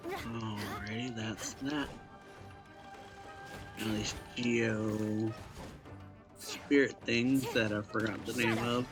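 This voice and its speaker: This is a man with a lowish voice doing casual gameplay commentary. He takes his time, drifting along slowly in an unhurried, low-key mutter. Soft music plays behind him. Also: steady tone. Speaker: gaming streamer. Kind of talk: casual let's play commentary.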